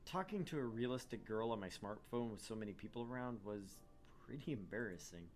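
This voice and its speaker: Low-voiced man hosting a gaming read-along. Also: lively intonation, a little fast, soft background music. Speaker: let's play host. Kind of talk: gaming chat and first-person story read-aloud.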